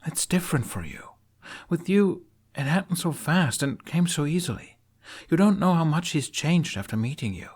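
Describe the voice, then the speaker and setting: A man's voice: a little high, animated, moderate pace, darkish tone, husky, pitched above the performer's own voice. A man voicing an earnest, stubborn young female knight with an emphatic, imploring delivery in an audio story. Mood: earnest, insistent.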